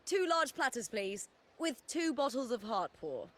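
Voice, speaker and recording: An actress playing an upbeat, fiery young female arena fighter in a video game, chatty and animated. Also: fairly high pitch, a little slow, slight background noise. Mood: excited, eager. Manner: animated, breezy, casually chatty. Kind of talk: video game character acting.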